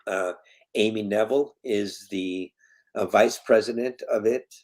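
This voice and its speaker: A man's voice: on the low side, a little slow, darkish tone. A man in his fifties from Colombia, talking as an interview guest and foundation president in earnest, emphatic tones, repeating his key points. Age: 50s. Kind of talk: casual interview talk.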